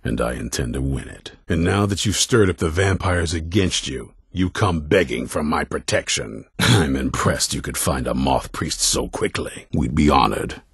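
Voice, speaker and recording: An actor playing a stern, suspicious vampire-hunter commander in a fantasy video game, blunt and commanding, with a gruff gravelly voice. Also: male voice, lively intonation, normal speed, a bit bright, no echo, studio quality, heavily acted. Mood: stern, curt. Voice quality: very deep, gravelly, raspy. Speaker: leader of a vampire-hunting order.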